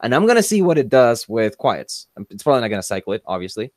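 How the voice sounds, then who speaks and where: A man's voice: mid-range voice, quick pace, dry recording. Brief: young guy on a headset, dry close sound, eager show-and-tell, lots of "uh" and "like". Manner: excitable, lots of fillers and restarts. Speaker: panelist showing a rifle. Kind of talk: casual chat, show-and-tell demo. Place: cluttered workshop indoors. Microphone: headset, video call.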